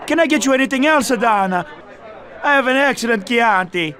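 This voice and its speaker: Italian restaurateur, very high pitch, Italian accent, effusive, deferential, eager to please, video game. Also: male voice, lively intonation, normal speed, bright, forceful tone, a faint crowd, exaggerated voice. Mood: eager, joyful. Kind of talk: video game cutscene acting.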